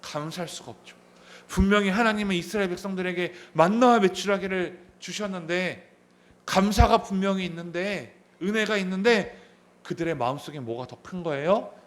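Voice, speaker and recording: A man's voice: high voice, moderate pace, a bit bright. A man in his thirties preaching a sermon as a pastor, asking the congregation questions and repeating key phrases. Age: 30s. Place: church sanctuary.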